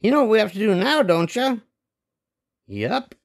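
An older man voicing restless, imaginative young boys in an expressive, dramatic storybook read-aloud. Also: sits high, moderate pace, creaky, exaggerated voice. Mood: excited. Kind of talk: animated storybook read-aloud with character voices.

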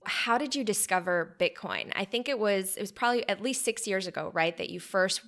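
A woman's voice: medium pitch, fairly quick, a bit bright. Woman podcast host asking questions. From Poland. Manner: curious, conversational. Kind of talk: interview questions.

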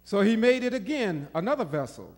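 Lively man reading Scripture in church. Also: sits high, slightly rough. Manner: lively, quick amens. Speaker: Scripture reader. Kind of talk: reading Scripture aloud, answering the preacher. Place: small church sanctuary. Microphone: stand mic. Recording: reverberant church room.

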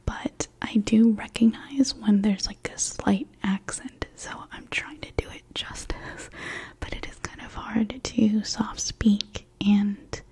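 A woman whispering a gentle, patient language lesson in ASMR style, her first languages Mandarin and Cantonese. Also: medium pitch, steady tone, slow pace, breathy edge. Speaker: language teacher.

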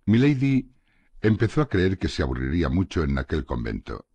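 A man narrates a classic novel in a very deep, husky voice, his pitch rising and falling with lively expression. The reading is measured and steady. The recording is dry and close, with no room sound. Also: no room sound. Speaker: audiobook narrator. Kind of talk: scripted literary narration.

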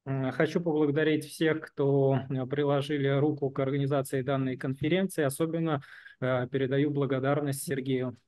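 Young man, live interpreter, halting, lots of fillers, mid pitch. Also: level tone, darkish tone. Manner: halting, searching for words with fillers.

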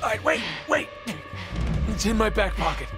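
A sarcastic American treasure hunter for a game. His pitch is on the high side and swings freely, with a gravelly crackle. He stays cocky and flippant under pressure, with loud music underneath. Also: sing-song, normal speed, exaggerated voice. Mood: strained banter. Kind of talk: video game cinematic acting.

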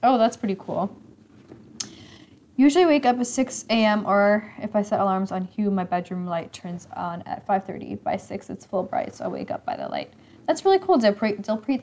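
A woman of twenty-five, a little raspy today, hosting a live stream and chatting as she reads comments, teasing and laughing often. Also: mid-range voice, darkish tone. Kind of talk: casual live stream chat reading comments. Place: indoor room with leather couch.

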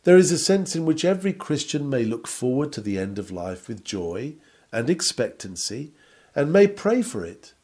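Guy reading out an old sermon, mellow voice, earnest and measured, kinda oratorical. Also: medium pitch, big pitch swings. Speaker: sermon reader. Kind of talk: sermon read aloud, old-fashioned scripted text.

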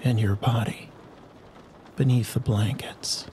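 A man narrating a guided sleep hypnosis in a soothing, unhurried manner with long pauses. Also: medium pitch, fairly even intonation, mellow, slight background noise. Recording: rain and thunderstorm sounds behind the voice. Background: rain and distant thunder ambience.

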